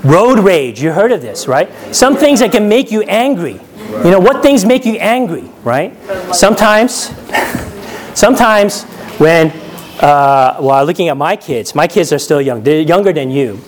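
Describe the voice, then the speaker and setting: A New York–born man preaching in a relaxed, chatty way, tossing "right?" back to the room again and again. His voice sits a bit high for a man, and he chuckles at his own anecdotes as he goes. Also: measured pace. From New York, USA. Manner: chatty, often checks in with "right?"; laughs at his own stories. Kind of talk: conversational sermon.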